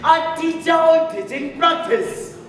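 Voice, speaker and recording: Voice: extremely high pitch, fairly even intonation, slow pace. A student actor playing a stern, pompous school principal, declaiming formally on stage. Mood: accusing, stern. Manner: formal, accusatory, theatrical projection. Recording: echoey hall from far, audience noise.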